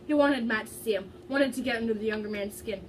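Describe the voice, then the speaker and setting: A young woman with a fairly high voice reads a story aloud in a dramatic, informal amateur way. She trips over words now and then. The recording is distant, with clear background noise. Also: fast. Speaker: reader of fan fiction.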